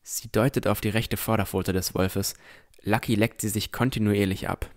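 A man's voice: fairly low pitch, steady tone, moderate pace, lightly acted. A man playing a cautious, easily amazed boy who narrates his own adventure in a scripted fantasy audio drama.

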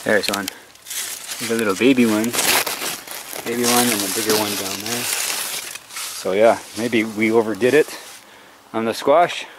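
A man's voice: lowish voice, steady tone, a little slow, pauses often, background noise. Easygoing man rambling outdoors. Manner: rambling, easygoing, talks while doing yard chores. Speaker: vlogger. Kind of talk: casual chat. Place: backyard outdoors.

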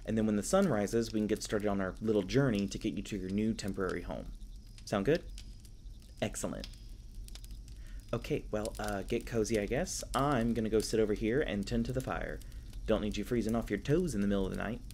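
A man acts out a youthful elf park ranger in a fantasy audio roleplay. His voice sits a little low. He talks casually and kindly, rambling and stammering with flustered, apologetic humor. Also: fairly quick, a little mellow, slight background noise, lightly acted. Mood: gentle, caring.

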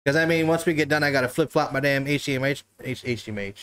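A man hosting a casual livestream from his living room couch, talking into a desk mic on a stand. He jokes loosely, laughs often and swears freely. His voice sits at an ordinary middle pitch for a man. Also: very fast, a bit bright, clear, resonant tone. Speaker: talk show host.

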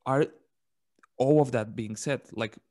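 A young man hosting a podcast, speaking close to a microphone in a casual, even way with fillers like "like" and "right". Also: medium pitch, slow. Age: young adult. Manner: even, flat delivery with filler words like "like" and "right". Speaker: podcast host. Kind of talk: conversational podcast interview.

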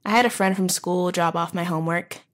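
A woman playing a wounded, wry, guarded teenage runaway in a halting, confessional audio drama monologue. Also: lowish voice, lightly acted. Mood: hurt, uneasy. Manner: halting, conversational, with pauses and wry asides. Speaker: narrator of audio horror story. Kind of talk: first-person dramatic monologue, confessional storytelling.

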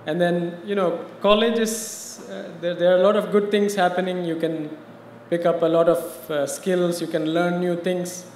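A man from India answers questions from a podium in a conversational, explanatory way, speaking a little above the usual male pitch. The sound carries through a large hall with a lingering reverb and faint background hum. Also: little pitch movement, moderate pace, hardly any pauses, darkish tone. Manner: conversational, explanatory, uses "you know". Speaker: guest interviewee. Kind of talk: personal story in a live interview. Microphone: podium mic.

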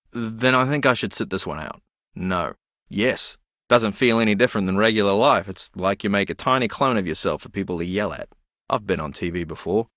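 A man's voice: lowish voice, a bit bright, no echo, studio quality. An actor playing a dreamy, easily confused young man in a video game, earnest, naive and meandering as he muses.

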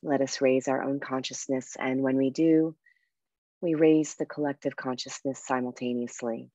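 A woman guiding a meditation and breathing exercise in a soft, unhurried, soothing way with pauses. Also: very deep voice, steady tone, no room sound, muffled recording. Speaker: meditation guide and coach. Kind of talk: guided meditation with breathing instruction.

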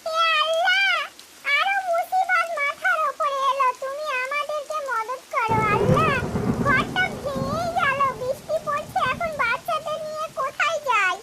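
A woman's voice: very high voice, measured pace, bright, forceful tone, slight background noise, exaggerated voice. A woman voicing an anxious, tender mother bird in a cartoon, pleading and plaintive. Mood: desperate. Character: anxious mother bird, tender with her chicks, helpless in a storm. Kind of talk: cartoon voice acting.

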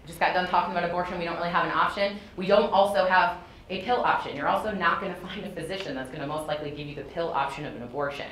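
A woman's voice: on the low side, rapid-fire, a bit bright. A woman in her thirties speaking to an audience in a classroom, conversational and often checking in with "okay?". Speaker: clinic speaker on a panel. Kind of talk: informal talk to an audience. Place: classroom, indoors. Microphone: far room camera. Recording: echoey classroom, faint hiss.

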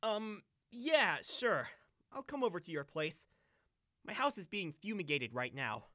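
A woman playing a tired, evasive high school boy in an audiobook drama. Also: sing-song, measured pace, sharp tone, no echo, clean studio sound, full character voice.

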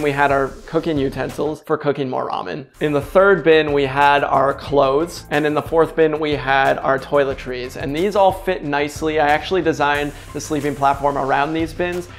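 Young canadian guy vlogging in his kitchen, kinda high voice, gravelly, chatty and upbeat. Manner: chatty, upbeat, storytelling with fillers. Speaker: travel vlogger. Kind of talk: casual direct-to-camera vlog. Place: indoors in a bright kitchen. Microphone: lapel mic.

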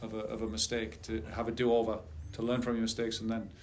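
Middle-aged man, flat steady coach. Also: lowish voice, quick pace. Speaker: head soccer coach. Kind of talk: post-game press conference answers. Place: press conference room. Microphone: table mic on a stand.

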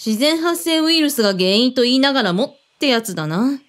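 A woman's voice: high voice, expressive, brisk. A woman playing a shrewd, skeptical former agent in a radio drama, with terse, mannish phrasing and probing questions. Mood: serious, probing. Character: shrewd, skeptical female ex-agent in a protection business. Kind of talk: Japanese radio drama acting.

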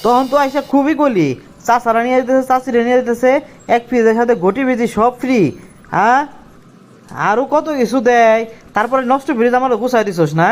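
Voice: mid-range voice, brisk, close to an everyday voice. Casting: hot-headed, cheeky young village schemer played by an actor, rural Bangladeshi accent, colloquial and argumentative, comic cartoon. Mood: angry, accusing. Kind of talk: comic Bengali cartoon dialogue.

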